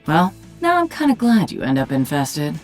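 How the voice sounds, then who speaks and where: A woman's voice: sits low, huge pitch swings, moderate pace, soft background music. A woman voicing a cocky, sardonic assassin and alien queen in a video game, dry and taunting. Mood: scornful.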